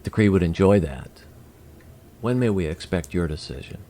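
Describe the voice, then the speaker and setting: Man voicing a deep-voiced, courteous alien envoy. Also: lively intonation, warm tone. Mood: formal, firm. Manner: formal, deliberate, precise wording. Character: courteous, measured male alien envoy from Kree. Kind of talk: scripted audio drama acting.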